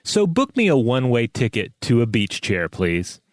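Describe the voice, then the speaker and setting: A man's voice: medium pitch, huge pitch swings, normal speed, no echo. A man co-hosting a podcast, speaking conversationally into a close mic. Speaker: podcast co-host. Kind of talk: casual chat, scripted ad reads and listener mail.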